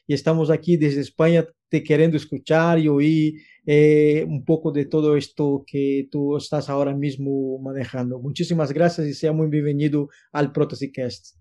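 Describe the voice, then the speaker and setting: A man's voice: fairly high pitch, little pitch movement, normal speed, few pauses, mellow. A man hosting a podcast interview, formally welcoming and questioning his guest. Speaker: podcast host.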